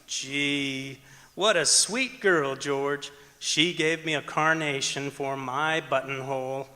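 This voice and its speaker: Man acting an excitable, bright radio-comedy pal. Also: medium pitch, slow. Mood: lovestruck, eager. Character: excitable comic male friend in a radio comedy. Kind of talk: old-time radio comedy acting on stage. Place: large auditorium stage, live performance. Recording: echoey auditorium stage recording.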